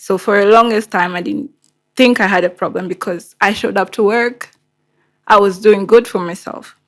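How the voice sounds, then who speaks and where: A woman's voice: mid-range voice, steady tone, normal speed. A woman with a Rwandan accent and Kinyarwanda as first language, hosting and telling a personal story in a candid, conversational, emphatic way. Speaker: show host.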